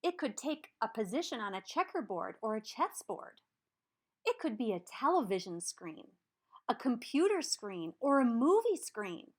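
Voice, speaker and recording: Female picture-book narrator: slightly high voice, very varied intonation, deliberate emphasis on key words. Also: very animated. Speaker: storybook reader. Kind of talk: expressive children's read-aloud narration.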